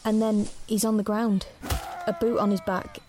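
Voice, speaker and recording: A woman's voice: medium pitch, flat delivery, moderate pace, lightly acted. Nervy, watchful young teen played by a woman, hesitant and earnest, dystopian radio drama, noisy ambience. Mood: tense, haunted. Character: anxious, watchful thirteen-year-old narrator in a dystopia. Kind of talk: first-person audio drama narration and dialogue.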